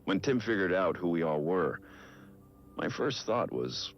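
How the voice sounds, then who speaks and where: An actor playing a brooding, guilt-ridden middle-aged vigilante detective, speaking grave, measured audio logs for a video game. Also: male voice, sits low, big pitch swings, lo-fi sound, faint music behind, full character voice. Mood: grave, analytical. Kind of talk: scripted audio log narration for a video game.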